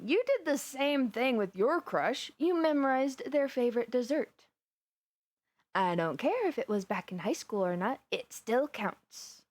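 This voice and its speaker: A woman's voice: medium pitch, dry recording. Dry, close, dramatic lovestruck supervillainess voiced by a woman. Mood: playfully huffy. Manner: chatty, dramatic, playfully indignant, with sighs and gasps. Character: flustered, lovestruck supervillainess confiding in her best friend. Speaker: voice actor. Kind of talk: roleplay audio drama, intimate one-sided conversation.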